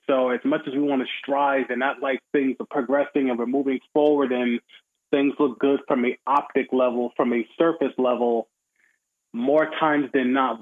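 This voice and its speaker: Phone-line male sports pundit, mid-pitched. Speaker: guest sports commentator. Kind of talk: conversational sports commentary. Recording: tinny narrow phone-like line.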